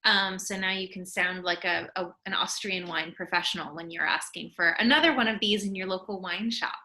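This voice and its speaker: A woman's voice: lowish voice, fairly quick, bright, forceful tone. A woman hosting a virtual wine tasting, giving friendly, informative notes with frequent fillers over a video call.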